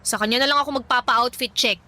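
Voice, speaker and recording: High, bright, pouty young tomboy turned girly. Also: fairly even intonation, fast. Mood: pouty, irritated. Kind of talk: radio drama dialogue.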